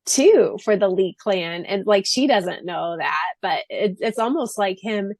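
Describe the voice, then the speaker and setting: A woman's voice: medium pitch, expressive, unhurried, a little mellow, dry recording. A woman co-hosting a podcast in a chatty, informal book discussion, giggly as she talks. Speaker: podcast co-host. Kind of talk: casual book discussion chat.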